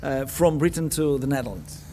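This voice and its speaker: A man's voice: mid-range voice, moderate pace, warm tone, a little hiss or hum. A man hosting an interview, asking questions hesitantly with many fillers and a habit of saying "okay, very well.". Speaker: interviewer, host.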